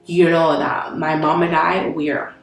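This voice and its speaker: Nasal Jamaican woman, low voice, echoey room. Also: level tone, unhurried, vocal fry, distant mic, slight background noise. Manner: candid, storytelling, many fillers and sighs. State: nasal from a cold. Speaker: vlogger. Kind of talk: casual life-update vlog. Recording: very echoey room, away from the mic, soft music behind.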